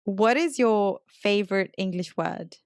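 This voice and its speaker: A woman hosts a casual interview podcast in a clear, resonant voice of medium pitch. The recording is dry, with very little room around her. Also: expressive, measured pace, dry recording. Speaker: podcast host and English teacher.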